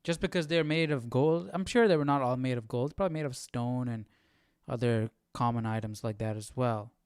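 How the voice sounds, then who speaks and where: A man's voice: mid-range voice, brisk, darkish tone, smooth, no echo, studio quality. A man hosting a Bible study with casual, reflective commentary, questioning and thinking aloud.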